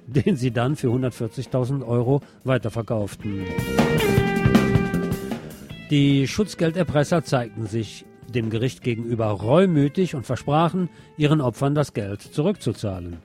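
Male news magazine presenter, relaxed mellow voice, wry scripted delivery, light music bed behind. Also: medium pitch.

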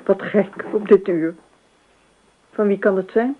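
An actress in a Dutch radio play voicing a dreamy, unruffled wife with an airy, gently teasing, evasive manner. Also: female voice, medium pitch, steady tone, a little slow, a little hiss or hum, natural voice. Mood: puzzled, evasive. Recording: dull, lo-fi radio play recording.

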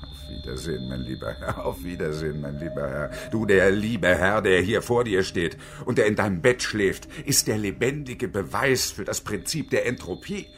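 A man's voice: fairly low pitch, normal speed, hardly any pauses, close to an everyday voice. A man acting in a radio drama as a bitter, defiant unemployed engineer in his fifties, with a pompous, sarcastic, declamatory way of talking. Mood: mocking, grandiose. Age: 50s.

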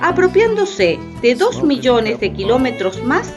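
A woman's voice: sits high, big pitch swings, a little slow, dull audio, music in the background. A woman narrating history in a scripted radio drama.

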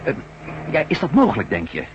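A man's voice: mid-range voice, wildly swooping pitch, brisk. A man playing an impulsive, decisive reporter and adventurer in an old radio drama. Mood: thoughtful. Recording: noisy, dull old radio recording.

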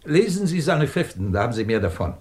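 A male actor plays an imperious, middle-aged professor in a 1950s German radio drama. His mid-pitched voice swings widely as he commands, probes and mocks. The delivery is theatrical, clipped and sardonic, that of a man used to being obeyed. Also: sing-song, fast talker, darkish tone, close to an everyday voice. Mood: scornful, commanding. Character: imperious, sardonic British physics professor and department chief.

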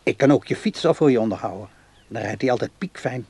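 A man's voice: medium pitch, animated, quick pace, vocal fry, warm tone, husky, exaggerated voice. An actor in a radio play playing a simple, doting, earnest man who is easily worked up and insistent.

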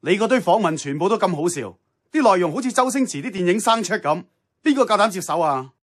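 Blunt hong kong cantonese boss guy, middle-aged, husky, dry, higher-ish voice, radio drama. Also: lively intonation, fast. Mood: challenging. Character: blunt, seasoned male radio station boss.

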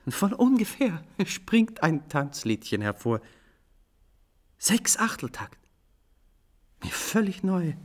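An actor in a German radio play playing an exuberant composer who tells of his own mishap in an animated, theatrical way. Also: high-pitched, slow pace, pauses often, exaggerated voice. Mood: musing, delighted. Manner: theatrical, animated, storytelling with shifting pace. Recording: faint music behind the voice.

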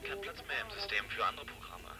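An actor playing an excitable, naive young genius who rambles and stammers in a German radio play. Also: male voice, on the low side, quick pace, lightly acted. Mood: excited, nonchalant.

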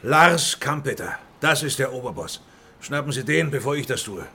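An actor playing an irascible, aggrieved boss of a poultry megastore in a radio crime drama, blustering and indignant. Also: male voice, medium pitch, expressive, moderate pace, sharp tone, breathy edge, heavily acted. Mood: agitated, accusing.

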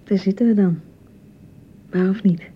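An actress from a radio play playing an anxious, touchy young newlywed bride in a hesitant, rambling way, with a Netherlands Dutch accent. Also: female voice, steady tone, unhurried, husky. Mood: nervous, flustered. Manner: hesitant, rambling, self-correcting. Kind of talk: naturalistic radio play dialogue. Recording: muffled radio broadcast with rumbling noise.

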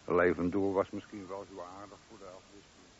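An actor playing a chatty, deferential but opinionated middle-aged police sergeant in a radio play. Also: male voice, low voice, little pitch movement, fast, slight background noise, close to an everyday voice. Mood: gossipy, matter-of-fact. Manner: talkative, colloquial, deferential. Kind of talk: radio drama acting. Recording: dull, lo-fi old radio recording.

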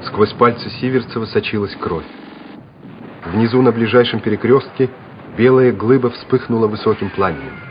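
A man's voice: lowish voice, moderate pace, slight background noise. A man reading scripted dramatic narration for a war radio drama. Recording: dull vintage radio recording with music.

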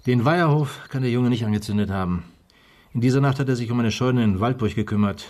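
An actor playing a dry, wry police detective in a radio drama. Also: male voice, medium pitch, brisk, a little mellow, lightly acted.

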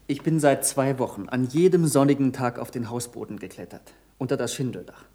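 An actor playing an eager, persistent young pupil of a scientist in a German radio play, earnest and precise. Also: male voice, medium pitch, brisk, warm tone, natural voice.

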